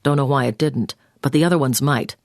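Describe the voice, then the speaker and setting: A woman's voice: rumbling low, a little fast, darkish tone, no echo. Businesslike female agent handler for a video game, clipped orders, really dry close sound. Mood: businesslike, urgent. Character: brisk, commanding female intelligence agent giving orders. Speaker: mission handler over the phone. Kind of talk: scripted video game voice acting.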